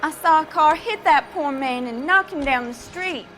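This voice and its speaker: A woman's voice: high voice, moderate pace, a bit bright, soft background music. An actress playing a polite, hesitant young woman in her twenties, a demure witness in a crime drama game. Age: 20s. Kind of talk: scripted crime drama acting.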